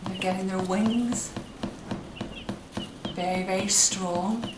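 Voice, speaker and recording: Middle aged lady doing kids story time, talks really slow and gentle, mic kinda far off. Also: mid-range voice, steady tone. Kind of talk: slow, simple narration for young children. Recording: roomy, noisy, distant microphone.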